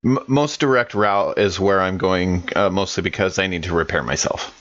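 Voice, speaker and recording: A man playing a tabletop game, speaking in character with hesitant, halting delivery and many fillers. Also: sits low, fairly bright tone, no echo, muffled recording. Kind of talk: in-character roleplay with out-of-character asides.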